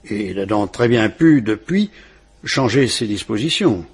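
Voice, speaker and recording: An actor playing a courteous police inspector who questions a witness in a measured, reassuring way, in a vintage French radio drama. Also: male voice, on the low side, animated, slightly rough, heavily acted. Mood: probing. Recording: old radio play recording.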